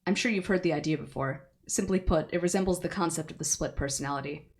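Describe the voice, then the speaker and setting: A woman voicing a cryptic cloaked androgynous entity who speaks slowly, quietly and gravely. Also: sits low.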